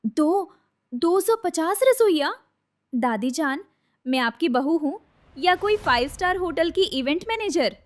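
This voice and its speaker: A woman's voice: very high-pitched, expressive, a little slow. A woman voicing an overwhelmed, sassy young daughter-in-law in a Hindi cartoon story. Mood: incredulous.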